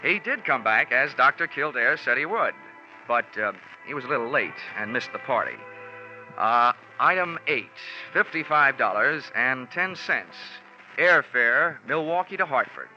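Hard-boiled male investigator: higher-set voice, deadpan, sardonic, dull vintage radio sound with music behind. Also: measured pace. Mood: dry, sardonic. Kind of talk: 1940s radio detective acting and narration.

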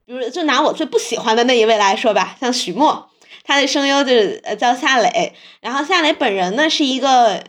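Woman podcast host, mainland mandarin accent, slightly high voice, chatty n informal, telling stuff anecdotally. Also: animated, a little fast, a bit bright. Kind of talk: casual chat.

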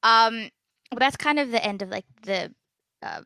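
Giggly teen girl with a gravelly edge. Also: a little high, normal speed, bright, forceful tone, no room sound, clean studio sound. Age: teens. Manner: stutters, many fillers and giggles. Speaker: podcast host. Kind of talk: casual chat.

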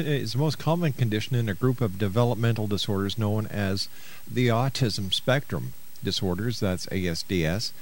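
A middle-aged man hosting a radio interview, introducing and questioning his guest in an avuncular way. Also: medium pitch. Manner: avuncular, uses pet names for the guest. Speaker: radio talk show host and interviewer.

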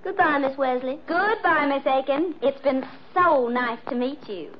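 A young woman in vintage radio melodrama, gushing and clinging to her man. Her voice is high and sugary. The recording is muffled, with clear noise. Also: unhurried. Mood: gushing, sweet. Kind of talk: 1940s radio melodrama acting. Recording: noisy, dull old radio recording.

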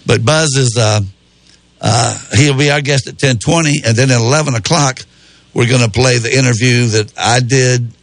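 A man hosts a relaxed morning radio show, talking in a rambling, folksy way that often circles back on itself. His voice is slightly raspy and sniffly from a cold. The studio sound is dry and close. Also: mid-range voice, moderate pace, no room sound. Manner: rambling, folksy, self-correcting. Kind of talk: casual radio chat.

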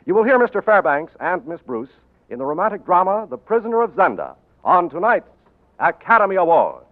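A man's voice: sits high, very animated, a little fast, slight background noise. A man announcing a sponsored radio show in a formal, grand, scripted 1940s style. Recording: muffled old 1940s radio broadcast, music behind.

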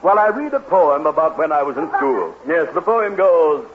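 A pompous rhyming poet, on tinny vintage radio. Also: male voice, a little high, sing-song, moderate pace, crowd noise. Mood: flustered. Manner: pompous, sing-song rhyming, flustered. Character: pompous male poet who talks in rhyme. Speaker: children's show character. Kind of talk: vintage radio comedy acting. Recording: tinny old broadcast with loud hiss.

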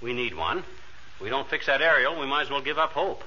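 Blustery, bright-voiced American bumbling husband. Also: male voice, mid-range voice, lively intonation, brisk. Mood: defensive. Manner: blustery, folksy. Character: restless, stubborn, boastful bumbling husband eager to fix things. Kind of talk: 1940s radio comedy acting. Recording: dull, noisy vintage radio recording.

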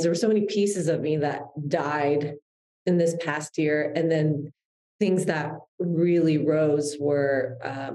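Podcast guest, woman in her 30s, low mellow voice, reflective and heartfelt, recorded in an echoey room. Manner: reflective, heartfelt, with frequent restarts. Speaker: guest, publicist. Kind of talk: podcast interview.

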